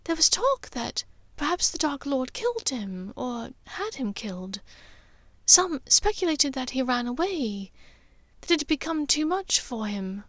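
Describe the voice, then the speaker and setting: A woman's voice: sits high, sing-song, a little slow, a bit bright, dry recording, pitched above the performer's own voice, exaggerated voice. A woman voicing a refined, reflective mother in an audiobook, speaking hesitantly and confidingly. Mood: sad, reflective. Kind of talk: audiobook character dialogue.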